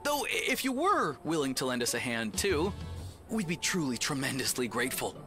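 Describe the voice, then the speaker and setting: An actor playing an earnest, polite and effusive railway worker in a video game cutscene. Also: male voice, mid-range voice, big pitch swings, normal speed, sharp tone, soft background music. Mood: grateful, eager. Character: earnest, eager male railway worker, overworked and grateful.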